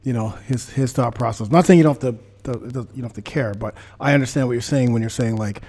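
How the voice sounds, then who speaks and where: A man's voice: mid-range voice, fast talker, darkish tone. A man hosting a casual chat, speaking emphatically, repeating phrases and swearing freely. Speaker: podcast host.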